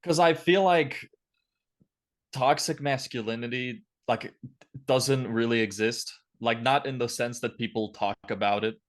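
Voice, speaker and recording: A man's voice: medium pitch, measured pace. A twenty-year-old man from Lithuania giving blunt, opinionated, casual answers as a podcast guest.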